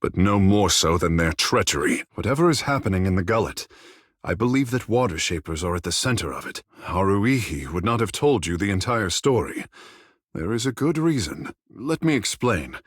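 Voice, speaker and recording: Flamboyant husky young demigod, swooping intonation. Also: male voice, low-pitched, big pitch swings, moderate pace, no room sound, studio quality, exaggerated voice. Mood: disgusted, indignant. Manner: theatrical, lofty formal phrasing. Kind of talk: scripted fantasy video game dialogue.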